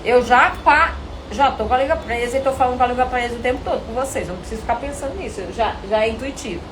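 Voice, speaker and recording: A woman with a Brazilian Portuguese accent coaching actors in an informal live lesson, animated and didactic, often asking questions and answering them herself. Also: fairly high pitch, racing, background noise. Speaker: acting coach teaching a live online class.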